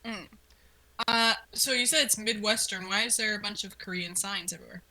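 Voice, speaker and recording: A woman's voice: medium pitch, a little fast, sharp tone, low-quality recording. A woman co-hosting a casual commentary, informal and enthusiastic as she reacts and asks questions. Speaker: co-host asking questions about the series.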